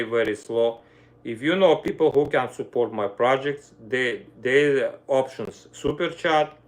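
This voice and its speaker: A middle-aged man speaks English with an Armenian accent, slowly and without hurry. He pauses often with "uh" as he hunts for words, and his grammar slips now and then. His voice sits at an ordinary middle pitch. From Armenia, grew up in the Soviet Union. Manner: searches for words, fillers, broken grammar. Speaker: livestream host, violinist. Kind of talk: casual live-stream chat with viewers.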